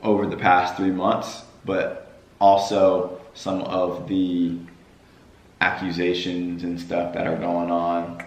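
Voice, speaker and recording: A young man with a hoarse, worn-out voice chatting casually on his family vlog, with a playful preacher-like cadence. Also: low voice, monotone, slow, reverberant, low-quality recording. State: hoarse from screaming at games. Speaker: vlogger.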